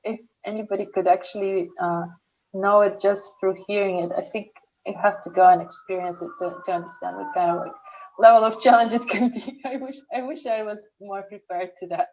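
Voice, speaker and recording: A young woman with an Eastern European accent, a startup founder answering interview questions slowly and carefully, often restarting her sentences. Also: mid-range voice, fairly even intonation, darkish tone, highs cut off. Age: young adult.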